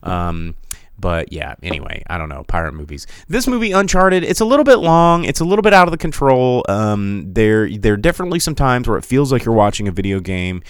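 Low-voiced guy rambling on his podcast, smooth tone, dry close mic, keeps restarting sentences. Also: sing-song, a little fast, no echo. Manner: rambling, digressive, many restarts; reads out viewer comments. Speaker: podcast host. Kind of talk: casual live-stream chat.

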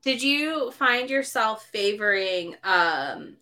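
A woman co-hosting a podcast in casual book chat, animated and full of "like" fillers, often acting out characters' lines. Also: fairly high pitch, slow. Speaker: podcast co-host.

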